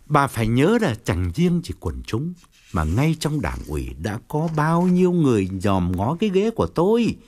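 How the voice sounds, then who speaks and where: A man's voice: mid-range voice, wildly swooping pitch, normal speed, a little mellow. Man acting a furious, domineering middle-aged father. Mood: stern, agitated. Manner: berating, authoritative. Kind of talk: audio story character dialogue.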